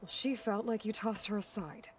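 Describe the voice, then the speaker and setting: A woman's voice: mid-range voice, normal speed, natural voice. An actress voicing a blunt, questioning young woman hunter in a video game cutscene, asking direct, probing questions. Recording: game cutscene with music underneath.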